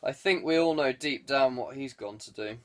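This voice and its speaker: A man's voice: mid-range voice, normal speed, fairly bright tone, close to an everyday voice. A man voicing an anxious, grave woman in an audiobook. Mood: worried. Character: worried, sombre woman. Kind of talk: audiobook character dialogue.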